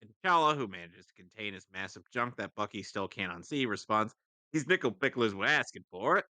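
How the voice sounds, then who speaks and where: Guy reading a silly script mock-dramatic, bright clear voice, dry close sound. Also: on the low side, animated, brisk, dry recording. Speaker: co-reader on a live comedy stream.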